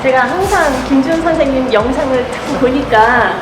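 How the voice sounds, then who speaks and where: Woman, fifties, warm and laughing, high voice, loud music. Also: moderate pace. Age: 50s. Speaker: boxing student. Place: boxing gym. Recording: echoey room with loud music.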